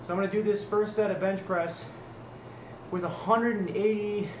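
A man's voice: high voice, fairly even intonation, normal speed. A young man in his twenties talking casually and bluntly through a home workout vlog, swearing now and then. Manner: blunt, swears, strains and grunts through reps. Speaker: fitness vlogger. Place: small room at home. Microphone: webcam at desk distance. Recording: echoey room, noisy webcam audio.

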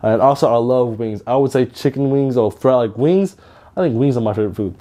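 A man's voice: mid-range voice, a little fast, mellow. Chatty young man from Georgia. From Georgia, USA. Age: 20s. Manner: upbeat, chatty, repeats himself, uses slang. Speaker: YouTuber. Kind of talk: casual channel introduction to camera. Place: bedroom with lit shelves, indoors.

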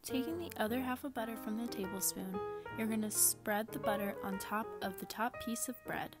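A woman's voice: on the high side, a little fast. Young woman giving instructions over music. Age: 20s. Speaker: cooking show presenter and instructor. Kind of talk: step-by-step instructional narration for children.

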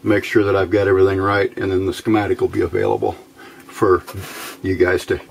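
Low-voiced man, casual demo. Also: little pitch movement, creaky, a little hiss or hum. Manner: informal, unhurried, explaining step by step. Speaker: amateur radio builder presenting a project. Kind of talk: casual hands-on electronics demonstration. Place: indoor workbench.

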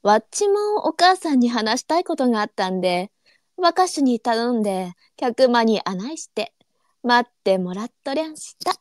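A woman playing a gentle, unflappable senior courtesan in a period audio drama, teasing and speaking in archaic courtesan dialect. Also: a little high, big pitch swings, moderate pace, dry recording, studio quality. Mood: playful, sly.

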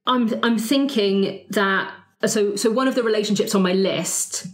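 A woman's voice: medium pitch, a little slow. A woman chatting casually as a player on a roleplaying podcast around a table. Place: indoor room, group around a table. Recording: roomy, echoing group table recording.

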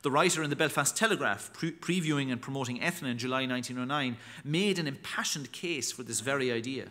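Man, 30s, lecturer at a chapel lectern mic; bright, measured, formal delivery of a read paper. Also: mid-range voice. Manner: formal, measured reading with occasional restarts. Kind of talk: reading a prepared academic talk. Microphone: lectern microphone.

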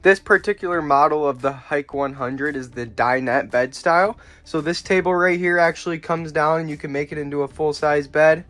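A man's voice: on the high side, normal speed, hardly any pauses. Young man giving a casual trailer walkaround. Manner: relaxed, plainspoken, pointing things out as he goes. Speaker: RV salesman giving a walkaround tour. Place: outdoors at an RV lot, then inside a small trailer.

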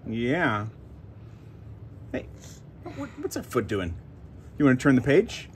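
Playful dad with a lively, swooping voice. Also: male voice, medium pitch, slow, slight background noise. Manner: playful, prompting with simple questions. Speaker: father reading to his young daughter. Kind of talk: casual read-aloud and chat with a toddler. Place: carpeted room at home.

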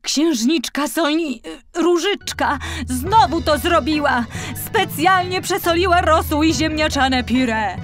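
A woman's voice: sits high, slow, pitched above the performer's own voice. A fat royal cook played by a woman, puffing and aggrieved. He complains indignantly in a fairy tale, with faint music underneath. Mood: flustered, indignant.

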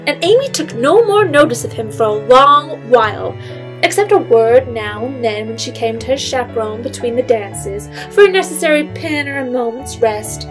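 A woman narrating a period audio drama in a measured, literary storytelling manner. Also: a little high, lively intonation, over music, lightly acted.

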